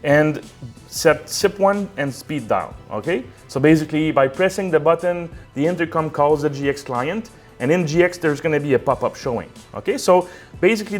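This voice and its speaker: A man's voice: on the high side, expressive, normal speed, soft background music. A man presenting a step-by-step technical tutorial in an unscripted, conversational way, often checking in as he explains.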